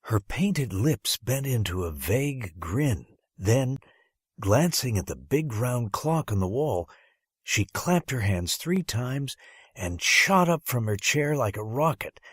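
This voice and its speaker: A man's voice: mid-range voice, sing-song, takes it slowly, slightly rough, dry recording, clean studio sound. A man reading a first-person story as an audiobook narrator, with dramatic phrasing and strong emphasis.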